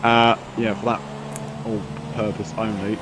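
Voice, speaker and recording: A man's voice: lowish voice, flat delivery, measured pace, heavy background noise. A man commentating a sim race in casual chat, sounding nasal from a cold, rambling and poking fun at himself. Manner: rambling, self-mocking, chatty.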